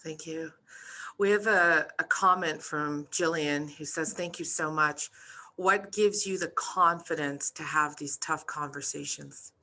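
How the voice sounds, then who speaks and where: A woman's voice: deep voice, unhurried, pushed and bright. A woman moderating an online Q&A, effusive and hesitant, with many fillers. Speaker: event moderator.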